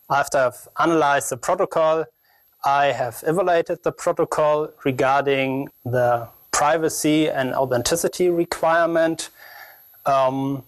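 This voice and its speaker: A young man with a German accent giving a technical conference presentation as a researcher, speaking in halting, non-native English phrasing. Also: medium pitch, no echo.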